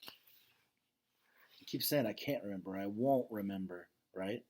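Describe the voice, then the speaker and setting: A man doing a children's read-aloud, expressive, with casual asides and questions that check in with his listeners. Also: on the low side, slow, background noise.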